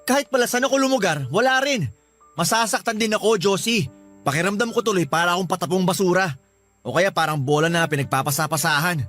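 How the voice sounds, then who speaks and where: A man's voice: sits high, quick pace, fairly bright tone. A man playing a hurt, defensive young lover in a Filipino radio drama, self-pitying, with a Filipino accent. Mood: frustrated, self-pitying. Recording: music behind the voice.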